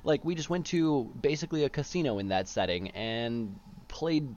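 Young man, casual chat, rambling, many fillers, mid pitch, muffled lo-fi sound. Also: normal speed. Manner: relaxed, rambling with fillers and restarts. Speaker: student podcast co-host, game master. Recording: dull lo-fi audio.

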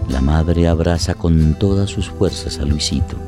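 A man with a deep voice narrates a radio drama. His phrases are short, measured and deliberate, set over prominent music.

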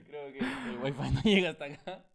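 A young man co-hosting a podcast in casual banter, teasing his partner, cursing and firing off quick jokes. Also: on the high side, creaky. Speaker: podcast co-host.

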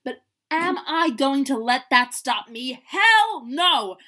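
Woman acting as an earnest young boyfriend, high-pitched. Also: measured pace, a bit bright. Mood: earnest, defiant. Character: young man Oliver, aspiring rapper, earnest, playful boyfriend. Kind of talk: amateur one-act romance play read-through.

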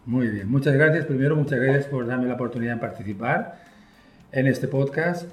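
A man speaking with a Spain Spanish accent answers interview questions with fluent enthusiasm. His voice sits at an ordinary pitch and he sprinkles in fillers as he goes. The office room around him gives a roomy echo. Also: fairly quick. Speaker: guest, software company partner. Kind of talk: conversational interview.

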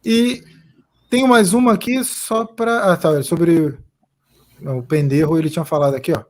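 Brazilian guy hosting a live stream, high voice, chatty, reads comments out. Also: moderate pace, slight background noise. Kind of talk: casual live chat.

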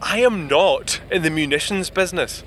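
An actor playing an indignant young 1930s gentleman inventor in an audio drama, with a British accent and a proper, clipped manner. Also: fairly high pitch, moderate pace, a little hiss or hum, close to an everyday voice. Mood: incredulous. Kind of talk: full-cast audio drama acting.

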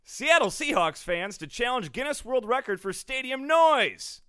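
A man's voice: extremely high pitch, very animated, unhurried, a bit bright, smooth, no echo, studio quality. A man co-hosting a comedy sports podcast, reading out news items and riffing on them with frequent laughter. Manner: reads headlines then jokes, laughs often. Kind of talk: casual comedic chat.

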